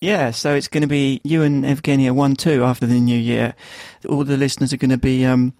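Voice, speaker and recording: A man's voice: mid-range voice, little pitch movement, brisk, darkish tone, no room sound. Rambling male podcast host, dry sound. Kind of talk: casual interview chat.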